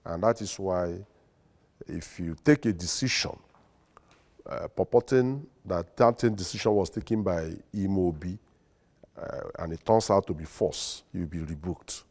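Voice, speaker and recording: Nigerian guy, lawyer type, deep and mellow, slow careful formal answers. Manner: formal, deliberate, emphatic. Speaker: guest, lawyer and secretary general. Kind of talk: studio interview. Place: TV studio.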